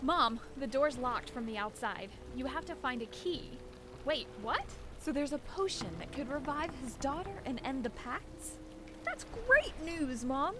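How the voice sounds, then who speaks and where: A woman's voice: sits high, expressive, a little slow, background noise. A woman playing a plucky, urgent young daughter held captive in a video game. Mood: urgent, hopeful. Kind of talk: video game cutscene acting.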